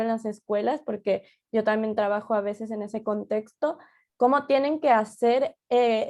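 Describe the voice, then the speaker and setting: A woman's voice: fairly high pitch, warm tone. A woman from Ecuador, hosting a conversational interview. She speaks in an affirming, empathetic way and often adds short words of agreement. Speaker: host.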